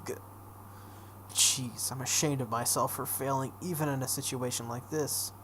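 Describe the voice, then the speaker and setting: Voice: medium pitch, fairly even intonation, moderate pace, fairly bright tone, a little hiss or hum. A let's play host reading visual novel text aloud dramatically, breaking off into casual reactions.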